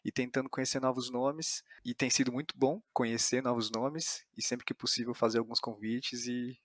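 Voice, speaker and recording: A man with a Brazilian accent hosting an interview podcast in an informal, slightly halting conversational way. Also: mid-range voice, little pitch movement, fairly bright tone, dry recording, clean studio sound.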